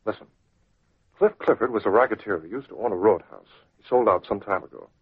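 An actor playing a decisive, authoritative masked crime-fighter in an old radio drama, with clipped delivery. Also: male voice, fairly low pitch, fairly quick, breathy edge. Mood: thoughtful. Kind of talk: 1940s radio drama acting. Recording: tinny, scratchy old radio recording.

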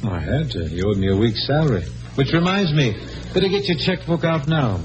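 A young man's lively, expressive voice, an actor as a practical, teasing doctor in a vintage radio play. The banter is dry, over clear music. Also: medium pitch, brisk, dull audio, close to an everyday voice. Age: young adult. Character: wry, practical young male doctor, good-naturedly teasing. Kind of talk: classic radio drama acting. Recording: old radio broadcast with music.

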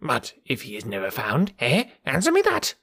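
A man voicing a querulous, twitchy, stingy little old man with a sharp crackling voice in an audiobook. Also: wildly swooping pitch, normal speed, creaky, husky, dry recording, studio quality, full character voice. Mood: shrill scolding. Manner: shrill, scolding, demanding. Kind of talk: audiobook character voice.

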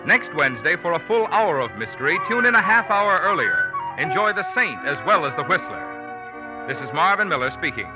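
A man's voice: high voice, very animated, normal speed, over loud music. An American man announcing on 1940s radio, reading scripted sponsor copy in a polished, emphatic manner. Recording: dull old radio recording.

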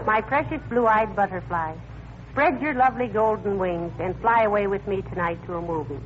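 Actress as a teasing wife on noisy old radio. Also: female voice, mid-range voice, normal speed, narrow, tinny audio, close to an everyday voice. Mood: sentimental, amused. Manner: teasing, gently chiding. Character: teasing, sentimental middle-aged wife, fond and unruffled. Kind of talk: 1940s radio comedy acting.

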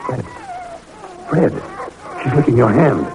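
An actor playing a loyal, eager young lab partner with an earnest, dramatic 1940s radio delivery. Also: male voice, mid-range voice, huge pitch swings, takes it slowly. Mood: hushed, worried. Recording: noisy vintage radio recording.

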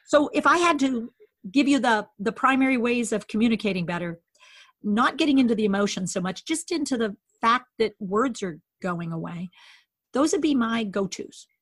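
A woman educator explains in a lively, casual way, playing out both sides of a conversation as she talks. Her voice sits fairly high and has a husky edge. The recording is dry and close, with no room sound. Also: moderate pace, no room sound. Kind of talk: casual teaching chat.

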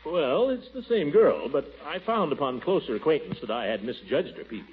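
An actor playing a pompous, blustering middle-aged bachelor in 1940s radio acting, on a tinny old broadcast. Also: male voice, on the high side, big pitch swings. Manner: blustery, pompous, quick to take offense.

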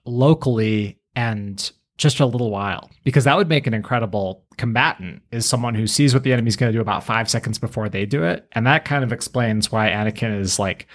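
A man co-hosting a podcast, theorizing casually as a fan and slipping into quoted character lines. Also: on the low side. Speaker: podcast co-host.

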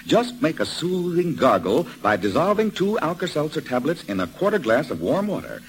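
A man's voice: a little high, sing-song, moderate pace, slight background noise, low-quality recording. An American man reading a scripted 1940s radio commercial in an emphatic, instructive way. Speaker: commercial announcer.

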